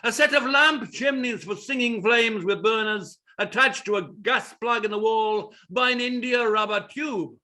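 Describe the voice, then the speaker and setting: A man acts as an exacting, pompous playwright narrating a stage play. His voice is high and sharp. He declaims each direction deliberately and theatrically. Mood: measured, precise.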